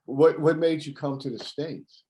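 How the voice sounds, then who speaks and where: A man's voice: on the high side, unhurried, dark tone. A man hosting an interview show, chatty and friendly, laughing often. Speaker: host.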